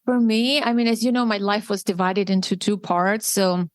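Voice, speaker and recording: A woman in her forties, originally from Armenia, talks in a candid, reflective way, restarting her sentences as she thinks. Her voice sits at a middle pitch and sounds dry and close, with no room around it. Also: normal speed, no echo. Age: 40s. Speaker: podcast host. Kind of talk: personal conversation, answering intimacy questions.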